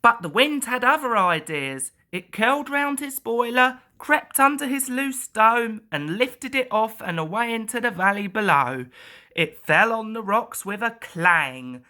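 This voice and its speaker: Slow, animated woman reading a children's story. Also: a little high.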